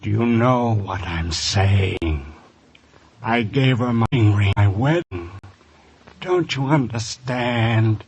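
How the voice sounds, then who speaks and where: Anguished, low-voiced radio drama husband. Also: male voice, expressive, a little mellow, husky, exaggerated voice. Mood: desperate pleading. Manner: halting, desperate confession. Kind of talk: 1950s radio drama acting. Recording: old radio recording with background hiss.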